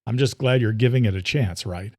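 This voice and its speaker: A man's voice: mid-range voice, fairly quick, mellow, no echo, clean studio sound, lightly acted. A man voicing a fatherly veteran policeman, hearty, proud and firm, in an audio thriller. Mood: teasing, encouraging.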